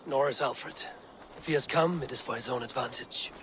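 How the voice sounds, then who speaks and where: An actor playing a proud, vengeful Viking warrior in a video game, with a blunt, stern and scornful manner. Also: male voice, medium pitch, normal speed, a bit bright, big-room sound, a little hiss or hum, close to an everyday voice. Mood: wary. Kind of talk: dramatic video game acting.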